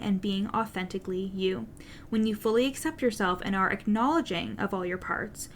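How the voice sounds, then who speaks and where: A woman's voice: mid-range voice, a little hiss or hum. A woman business coach with a stutter, speaking earnestly and directly in a solo podcast monologue, encouraging and now and then swearing casually.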